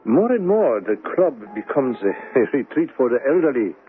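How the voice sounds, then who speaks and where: An actor playing an elderly, courteous, philosophical gentleman in a radio drama, speaking formally and deliberately. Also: mid-range voice, sing-song, narrow, tinny audio, faint music behind, natural voice. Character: elderly, philosophical Chinese gentleman, dry and courteous club member. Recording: old radio broadcast sound.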